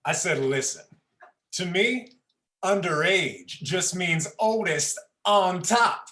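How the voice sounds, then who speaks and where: A man's voice: high-pitched, expressive, takes it slowly, sharp tone, echoey room, full character voice. A man voicing a cocky, lewd, bouncy tiger in an adult comedic play reading, brash and teasing. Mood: boastful, lewd. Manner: brash and teasing, laughs at own jokes.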